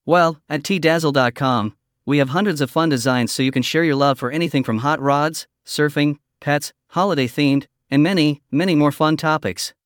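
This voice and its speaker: A man's voice: on the high side, normal speed, dry recording, studio quality. A man reading a scripted sponsor advertisement as the show's presenter.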